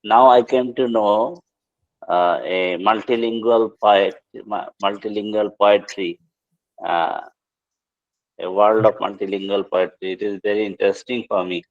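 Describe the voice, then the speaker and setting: Man, slow and hesitant, low voice, dull call audio. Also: steady tone. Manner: polite, hesitant, many fillers. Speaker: student asking questions. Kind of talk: online Q&A. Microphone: video call.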